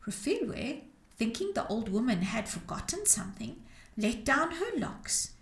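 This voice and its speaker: A woman storyteller reading a storybook aloud to children in an expressive, dramatic way with deliberate phrasing. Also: a little high. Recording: roomy, echoing sound.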